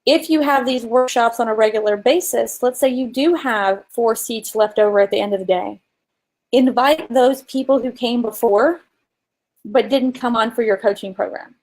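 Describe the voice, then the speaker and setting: A woman's voice: a little high, normal speed, a little mellow, poor audio. A woman co-hosting a casual business coaching chat, talking informally and emphatically and explaining with examples. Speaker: co-host and marketing coach.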